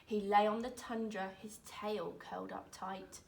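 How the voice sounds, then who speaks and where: A woman reading a rhyming children's picture book aloud in an expressive, dramatic way, with deliberate phrasing. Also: mid-range voice, creaky, a little hiss or hum. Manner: dramatic, deliberate phrasing with emphatic swells and hushes. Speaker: storyteller reading aloud, teacher. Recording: roomy echo, distant microphone.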